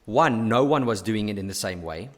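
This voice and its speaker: A man's voice: lowish voice, lively intonation, moderate pace. Male podcast host, upbeat, effusive, restarts sentences, long reverb. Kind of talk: podcast interview.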